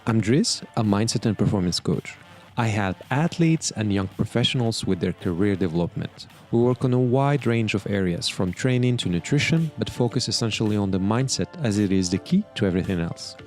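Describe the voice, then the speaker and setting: A man's voice: fairly low pitch, lively intonation, a little fast, darkish tone, faint music behind. A man hosting a podcast, reading a prepared introduction and then asking loose interview questions. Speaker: podcast host, performance coach.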